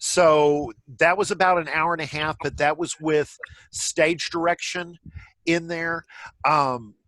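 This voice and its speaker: Man leading a casual group discussion, higher-pitched voice. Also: steady tone, takes it slowly, a bit bright, no echo, poor audio. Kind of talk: casual group discussion over video call. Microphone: video call.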